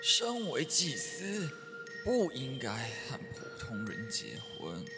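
An actor playing a stern middle-aged male priest who scolds his son, moving from low weary tones to shouting, in an audio drama. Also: huge pitch swings, slow, heavily acted. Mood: scornful. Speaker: priest character. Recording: reverb with faint music.

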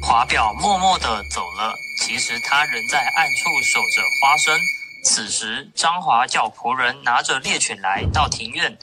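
A man's voice: mid-range voice, expressive, moderate pace, heavy background noise. A young man reading scripted story narration for a radio play. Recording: music behind the narration.

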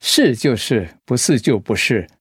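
A man's voice: medium pitch, very animated, unhurried, slightly rough, no echo. Impatient guy, blunt, bit clueless, voice swings a lot, dry studio. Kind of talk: radio drama acting.

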